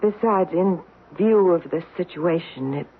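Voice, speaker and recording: An American actress playing a wealthy, poised society lover in an old-time radio drama, speaking in a polished, coaxing, theatrical way. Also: female voice, on the low side, measured pace, natural voice. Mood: measured, warning. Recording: muffled, noisy old radio broadcast.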